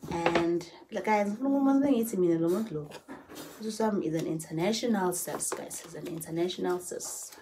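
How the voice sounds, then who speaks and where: A woman's voice: lowish voice, a little fast. Chatty woman, noisy phone video. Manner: chatty, repeats phrases, mixes in Zulu words. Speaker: vlogger. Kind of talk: casual haul vlog. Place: bedroom, indoors. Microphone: phone camera at arm's length.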